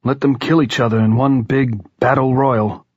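A dry, matter-of-fact academic man, played by a male narrator. The voice is husky and plain, recorded close and dry with a dull tone. He speaks evenly. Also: medium pitch, fairly even intonation, normal speed, no echo, voice pushed up, close to an everyday voice.

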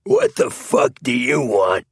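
Man playing a raspy, menacing street thug. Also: on the low side, expressive, slow pace, bright, forceful tone, no echo, studio quality, pitched above the performer's own voice, exaggerated voice. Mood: hostile. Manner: snapping, threatening, coarse slang. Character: rough, menacing tall male gang thug with knife.

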